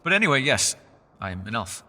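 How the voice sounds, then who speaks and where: A man voicing a centuries-old elf in a fantasy roleplay, gentle, socially awkward and chatty, with formal, old-fashioned, apologetic phrasing. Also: medium pitch, big pitch swings, fairly quick. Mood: amused, chatty. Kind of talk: fantasy ASMR roleplay voice acting.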